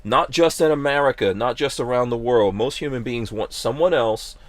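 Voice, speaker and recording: A man's voice: mid-range voice, normal speed. Emphatic man hosting a podcast. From Guyana. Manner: emphatic, rhetorical questions. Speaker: podcast host. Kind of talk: casual opinion talk.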